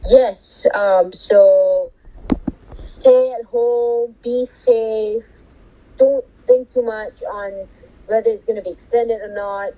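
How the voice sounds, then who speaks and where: A woman co-hosting a casual podcast from home over a call, talking loudly because of a bad connection and giving friendly advice. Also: a little high, steady tone, slow, dull audio, a little hiss or hum. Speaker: podcast co-host. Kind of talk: casual chat.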